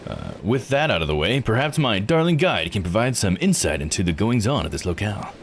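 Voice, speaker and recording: A man's voice: lowish voice, very animated, fairly quick, a little hiss or hum. A man voicing a cheerful American fantasy author from a visual novel, with grand, flowery dramatic flair.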